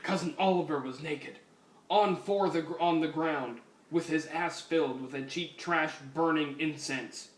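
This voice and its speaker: A man giving a mock-serious, comedic dramatic reading of a story as its narrator. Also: fairly high pitch. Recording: echoey room, distant mic, faint hiss.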